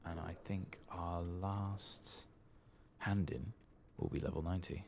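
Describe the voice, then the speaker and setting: A man hosts a game playthrough in a hushed, soft-spoken ASMR style. His voice is extremely deep and murmured, with a gravelly creak. He takes his time over the words at a slow pace. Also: steady tone, slight background noise. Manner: hushed, murmured, occasionally whispering. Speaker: gaming channel host.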